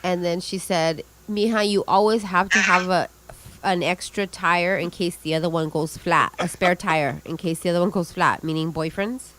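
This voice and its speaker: A woman's voice: fairly low pitch, moderate pace, slight background noise, rough recording. A woman in her late thirties co-hosting a podcast, chatting casually, laughing often and swearing freely. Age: 30s. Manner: giggly, profane, animated storytelling. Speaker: podcast co-host. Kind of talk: casual chat.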